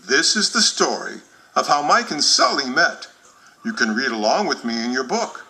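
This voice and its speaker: A man reading scripted children's story narration with expressive, clearly phrased storytelling. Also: medium pitch, normal speed, low-quality recording. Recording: music behind the voice.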